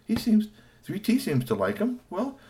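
A man voicing a small, polite robot that is puzzled by people, musing softly and hesitantly. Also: high voice, huge pitch swings, moderate pace, pitched above the performer's own voice.

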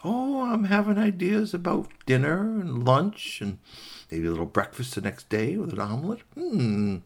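A man voicing a sly, hungry fox villain in a folktale, musing and gloating with drawn-out hums. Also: high voice, sing-song, creaky, pitched above the performer's own voice, exaggerated voice. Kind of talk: animated oral folktale storytelling.

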